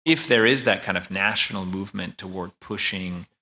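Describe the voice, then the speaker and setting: A man's voice: low-pitched, normal speed, sharp tone. An American man answering a question on a conference panel in a conversational way. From United States. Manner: conversational, with occasional restarts.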